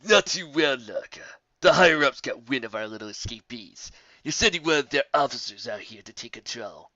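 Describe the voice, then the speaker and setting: A man's voice: medium pitch, animated, normal speed, lo-fi sound, a crowd in the background, lightly acted. A man voicing a proud but cowardly, hot-tempered monster captain who stammers and blusters in a fantasy story. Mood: weary grumbling. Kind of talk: audiobook fantasy villain.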